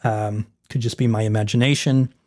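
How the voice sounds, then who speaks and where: A man hosting a video, talking casually to his viewers with a slightly hoarse voice, rambling and correcting himself as he explains. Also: fairly low pitch, steady tone, normal speed, darkish tone. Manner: rambling, self-correcting, addresses viewers as folks. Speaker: video host.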